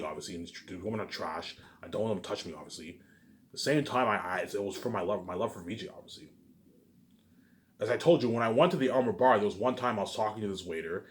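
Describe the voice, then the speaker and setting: A man with a lowish voice rambles through a personal monologue at a quick clip. He repeats himself and restarts often, pushing hard at the start of a thought and dropping into muttered tags at the end. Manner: repetitive, restarting sentences, constant verbal tags; emphatic openings trailing off into muttered asides. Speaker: vlogger.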